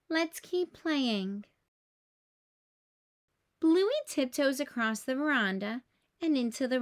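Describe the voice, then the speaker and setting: A woman's voice: high voice, a bit bright. A woman reading a children's picture book aloud like a teacher, expressively acting out the dialogue.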